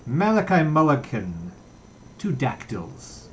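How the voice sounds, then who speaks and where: A man's voice: medium pitch, huge pitch swings, slow, lo-fi sound, slight background noise, voice pushed up. A man reading a story aloud, voicing a brash, mocking, jovial young man in a theatrical, teasing way. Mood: gleeful. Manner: declaiming, mock-solemn, teasing.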